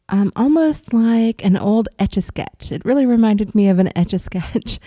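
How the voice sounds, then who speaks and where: A woman from upstate New York with a cold, casually telling viewers about an experience in a rambling way, with ums and soft laughs. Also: mid-range voice, no room sound, studio quality. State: has a cold. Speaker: vlogger recounting astral travel.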